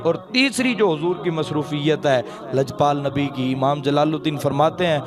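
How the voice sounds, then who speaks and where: A man's voice: on the high side, moderate pace, music in the background. A man preaching a devotional sermon in an emphatic way, repeating phrases for effect. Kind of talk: devotional sermon with recited couplets and quotations.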